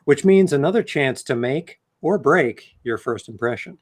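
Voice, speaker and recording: Male comedy podcast panelist, animated intonation, mock-serious read-aloud passages mixed with loose banter. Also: mid-range voice, moderate pace. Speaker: podcast panelist reading from a book.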